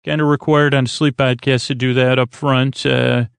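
An American man hosting a bedtime sleep podcast in a creaky, lulling tone, rambling and halting as he stumbles over his words with plenty of fillers. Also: mid-range voice, flat delivery, no room sound. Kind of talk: rambling bedtime monologue meant to lull listeners to sleep.

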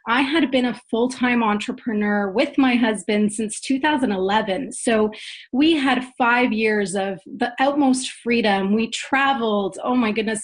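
Earnest woman entrepreneur guest. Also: on the high side. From Poland, raised in Canada. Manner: earnest, with frequent restarts. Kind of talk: casual interview chat.

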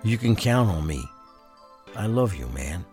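An actor playing a loyal, earnest gangster, the trusted right hand of a crime boss, in an audio drama. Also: male voice, lowish voice, big pitch swings, unhurried, darkish tone, husky, soft background music, exaggerated voice. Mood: grateful, devoted.

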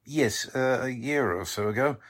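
An actor playing a polite, defensive warehouse manager in a 1940s radio drama, formal and hesitant in manner. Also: male voice, medium pitch, moderate pace, a bit bright, lightly acted. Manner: courteous, hesitant, fussily formal.

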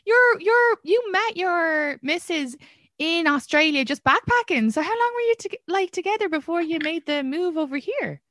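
A woman's voice: very high-pitched, expressive, moderate pace, a bit bright, no room sound, clean studio sound. A woman hosting a podcast, asking eager, curious questions in a casual chat. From Ireland. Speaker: podcast host.

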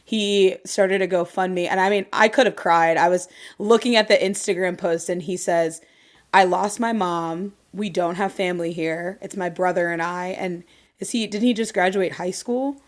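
A woman hosting a podcast, asking questions and summing up her guest's answers in a measured, empathetic way. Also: lowish voice, steady tone. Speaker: podcast host. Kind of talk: interview questions and reflections.

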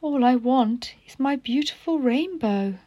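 A woman's voice: sits high, lively intonation, a little slow, warm tone, no room sound, dull audio. A woman doing a begging lovesick tadpole boy. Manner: begging, earnest. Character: lovesick young male tadpole, apologetic.

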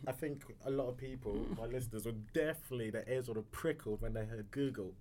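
A man's voice: mid-range voice, quick pace, a little mellow. A man hosting a podcast, asking questions in a chatty, informal way. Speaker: podcast host. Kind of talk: casual interview.

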